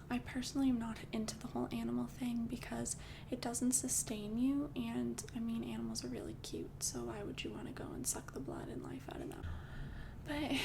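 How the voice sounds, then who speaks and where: A young woman voicing a calm, doting female vampire girlfriend in an ASMR roleplay, speaking in soft, intimate whispers close to the mic. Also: fairly high pitch, gravelly, noisy background. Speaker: ASMR creator.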